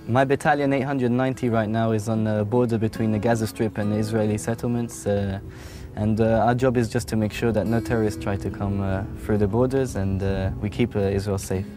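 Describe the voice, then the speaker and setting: A man's voice: on the low side, fairly quick. Young man, easygoing. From London, England. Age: young adult. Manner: relaxed, frequent "uh" fillers. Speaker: paratrooper soldier. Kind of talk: personal interview testimony. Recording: music playing underneath.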